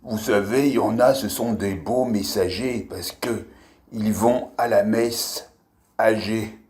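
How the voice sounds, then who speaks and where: A deep-voiced man tells wordplay jokes at an unhurried pace. His voice is husky. He keeps chuckling at his own puns. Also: darkish tone. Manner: slow, punning delivery with laughs at his own jokes. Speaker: comedian telling pun jokes. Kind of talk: improvised wordplay monologue.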